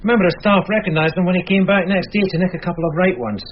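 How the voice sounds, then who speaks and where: An actor playing a dutiful, weary police sergeant in a radio crime drama, speaking in a dry, deferential manner. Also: male voice, sits high, expressive, very fast. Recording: dull radio recording with noise.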